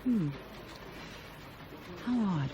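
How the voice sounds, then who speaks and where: An actress playing an inquisitive, persistent woman journalist in a radio drama, brisk and probing. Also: mid-range voice, animated, muffled recording, noisy background. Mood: curious.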